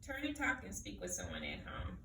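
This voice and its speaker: Very animated woman teacher, echoey room. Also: high-pitched, brisk. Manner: prompting, repeats questions. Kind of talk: read-aloud lesson, posing questions to children. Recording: echoey room, distant mic, faint noise.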